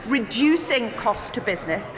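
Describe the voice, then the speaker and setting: A woman government minister from Wales answers questions in a formal, measured way. Her voice sits a little high and rings in a large echoing chamber. A crowd murmurs underneath. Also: very animated. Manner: formal, measured, partly read. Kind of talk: parliamentary answers. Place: parliamentary chamber.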